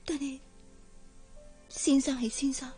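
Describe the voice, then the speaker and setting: A woman's voice: sits high, level tone, slow pace, mellow, soft background music. A woman with a Hong Kong Cantonese accent playing an earnest, lovesick young maid in a radio drama, deferential and wistful. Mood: yearning, resigned.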